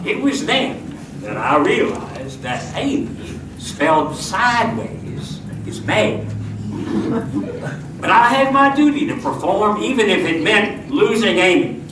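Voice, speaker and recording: Man acting a high-pitched, swooping, flustered Western marshal. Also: big pitch swings, takes it slowly, exaggerated voice. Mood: solemn. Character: earnest, jittery Western town marshal telling his own tale. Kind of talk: comic old-time radio acting with narration. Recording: distant, echoey, noisy live stage recording.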